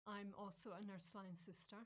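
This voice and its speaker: A woman from Scotland, a visiting nun answering thoughtfully with frequent pauses. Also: mid-range voice, flat delivery. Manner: thoughtful, with many ums and uhs. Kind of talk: casual interview answers.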